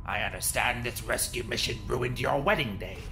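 An actor playing a confident, gruff rebel commander in an audio drama, composed and authoritative. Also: male voice, mid-range voice, normal speed, pushed and bright, soft background music.

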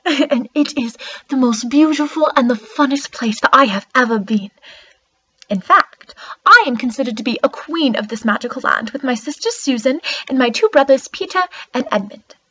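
A woman's voice: high-pitched, sharp tone, breathy edge, dry recording, dull audio, full character voice. A woman playing an eager, wide-eyed young girl queen from a magical land in an earnest storybook monologue. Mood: excited, proud. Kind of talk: character monologue.

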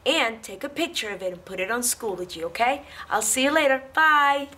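A woman in her thirties, a preschool teacher reading aloud and talking to young children in a simple, expressive way with questions for them. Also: on the high side, a little fast. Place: indoor classroom-like room. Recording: roomy echo, distant mic, faint noise.

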